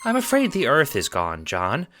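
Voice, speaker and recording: A man's voice: mid-range voice, wildly swooping pitch, moderate pace, sharp tone, soft background music, voice pushed up. A man voicing a kindly, playful elderly grandmother spirit with sweet, folksy, theatrical delivery in an audio drama. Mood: gentle, grave. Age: older. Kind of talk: audio drama character acting.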